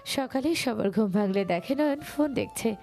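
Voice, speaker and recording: A woman's voice: fairly high pitch, expressive, normal speed, darkish tone, soft background music. A woman reading scripted narration for a romantic audio story.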